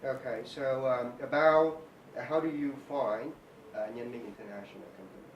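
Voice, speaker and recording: A man's voice: medium pitch, normal speed, recorded from a distance, muffled recording, a little hiss or hum. A man asking interview questions in a plain, slightly stiff way. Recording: roomy, off-mic voice.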